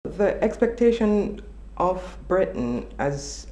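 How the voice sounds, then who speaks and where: A woman with a Jamaican accent answering interview questions in a measured, thoughtful way. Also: fairly low pitch, big pitch swings, warm tone. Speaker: journalism student interviewee. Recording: echoey room with faint noise.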